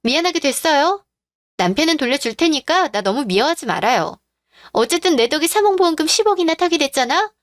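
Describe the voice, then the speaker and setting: A woman voicing a scheming, mocking mistress in a radio drama, with a taunting manner and a pitch raised well above her usual voice. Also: very animated, fairly quick, no room sound. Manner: taunting, sneering. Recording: dry studio voice-over with faint music.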